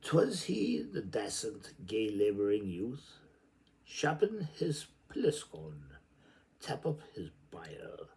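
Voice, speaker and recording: Very deep-voiced man reading aloud theatrically. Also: very animated, takes it slowly, mellow. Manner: theatrical, declamatory when reading; rambling, muttering to himself between passages. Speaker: reader of a literary novel. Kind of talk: informal read-aloud with muttered asides.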